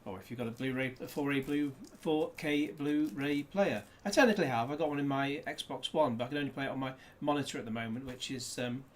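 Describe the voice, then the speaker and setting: A man's voice: mid-range voice, fairly quick, hardly any pauses. A man chatting casually through a disc unboxing, rambling with jokey asides, recorded indoors on a desk camera. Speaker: disc reviewer.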